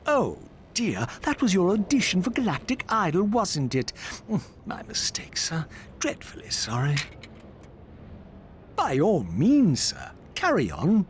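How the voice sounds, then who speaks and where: Slow, deadpan robot butler. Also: male voice, sits high, background noise, heavily acted. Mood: dry sarcasm. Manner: deadpan, obsequiously mocking. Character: dry, sarcastic male robot butler, politely insolent. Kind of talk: cartoonish video game acting.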